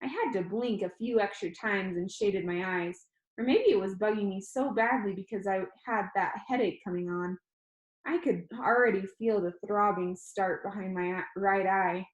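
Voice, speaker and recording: A woman reading a children's novel aloud, with gentle, chatty asides to a young listener. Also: medium pitch, normal speed. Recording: roomy echo.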